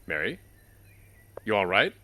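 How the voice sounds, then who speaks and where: An actor playing a steady small-town sheriff with calm, easygoing authority. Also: male voice, on the low side, unhurried, a little hiss or hum, heavily acted. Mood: wary. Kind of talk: audio drama acting.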